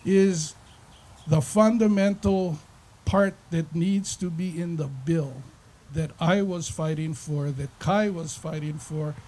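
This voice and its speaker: A man with a fairly high voice delivers an impassioned public speech, building his points through repeated phrases. He speaks as a legislator at an official signing ceremony, and faint ambient noise sits under his voice. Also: warm tone, rough recording. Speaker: state legislator giving a speech.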